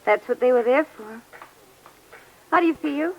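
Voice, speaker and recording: Reserved wife, old-time radio drama: high pitch, hesitant and guarded, lightly hissy recording. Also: female voice, animated, measured pace, muffled recording. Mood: polite, hesitant. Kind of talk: 1940s-50s radio drama acting.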